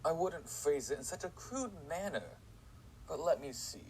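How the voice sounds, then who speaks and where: An actor in an audio drama playing a condescending prison psychiatrist with a clinical, sardonic manner. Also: male voice, fairly high pitch, expressive, normal speed, noisy background, natural voice. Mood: clinical, stern.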